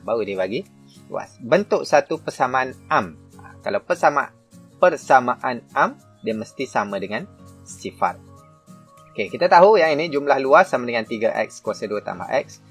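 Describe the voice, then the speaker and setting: Malaysian Malay male tutor, husky mid-pitch voice, patient step-by-step explainer, light music bed. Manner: talks through worked examples with many fillers and self-corrections. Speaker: maths teacher. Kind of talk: explaining a textbook lesson.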